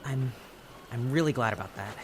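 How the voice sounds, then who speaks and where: A man's voice: mid-range voice, normal speed, a little hiss or hum, close to an everyday voice. An actor playing an awkward, lovestruck, worrying young theater crewman in an audio drama, hesitant and sheepish. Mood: flustered, sheepish.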